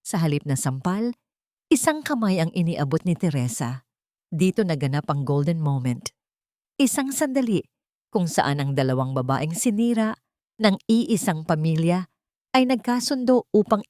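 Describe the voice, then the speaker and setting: Low-voiced woman narrating drama. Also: sing-song, normal speed, mellow, no room sound, clean studio sound. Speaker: audiobook narrator. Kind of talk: scripted dramatic story narration.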